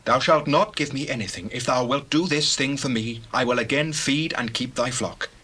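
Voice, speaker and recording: An actor playing an earnest, righteous shepherd in a dramatized Bible reading, with declamatory delivery. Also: male voice, medium pitch, moderate pace, pushed and bright, slightly rough. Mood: earnest, insistent. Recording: noisy scene with sheep and bird effects.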